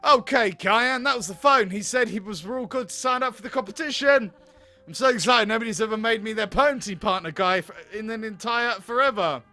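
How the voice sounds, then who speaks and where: A man's voice: high-pitched, a little fast, bright, forceful tone, soft background music. A man voicing an earnest, naive, eager restaurant captain in a comedy visual novel, with silly exclamations.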